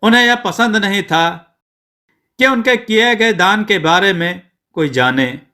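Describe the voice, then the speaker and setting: A male storyteller reads a dutiful young son in a moral tale. He sounds polite and resolute, with a bright, slightly high voice. He speaks slowly and earnestly. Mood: earnest, persuading. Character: dutiful educated young son taking over family shop.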